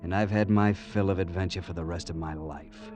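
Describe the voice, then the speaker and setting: An actor playing an impatient young American fiancé who narrates in a wry, conversational way, in vintage radio drama style. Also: male voice, deep voice, steady tone, brisk, natural voice. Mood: wry, haunted. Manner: wry, conversational first-person storytelling with teasing banter. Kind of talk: 1940s-50s radio drama acting. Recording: vintage radio recording, faint music behind.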